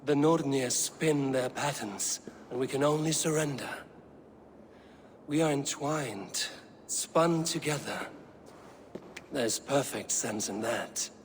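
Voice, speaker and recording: A man acting in a video game as a cunning, smooth-tongued god in disguise, speaking in measured, formal, archaic phrases. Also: medium pitch, a little hiss or hum. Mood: coaxing.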